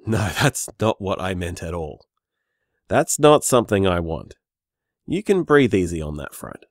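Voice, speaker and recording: Easygoing, affectionate low-voiced man. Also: no room sound, studio quality. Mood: flustered, reassuring. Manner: warm, teasing, rambling reassurance. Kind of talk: audio drama voicemail acting, naturalistic and conversational.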